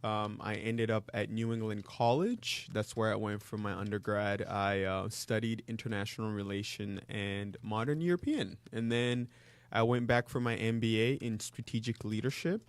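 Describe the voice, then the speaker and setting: Congo-born guy in his 30s, lowish voice, measured with lots of ums, dry mic. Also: fairly even intonation, clean tone, no room sound. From Democratic Republic of Congo. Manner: measured, with frequent ums and uhs. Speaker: guest. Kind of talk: interview conversation.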